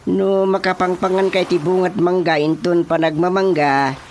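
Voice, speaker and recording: A man's voice: high voice, little pitch movement, normal speed, slight background noise. A man acting a stubborn villager in an Ilocano radio comedy, with an Ilocano accent and emphatic interjections. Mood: stubborn, protesting. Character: stubborn male villager defending his mango tree.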